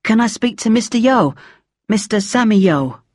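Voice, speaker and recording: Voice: mid-range voice, expressive, moderate pace, a little mellow, no echo, clean studio sound, pitched above the performer's own voice, close to an everyday voice. A narrator voicing an earnest, awkward teenage schoolboy in an audiobook story, hesitant and mumbling.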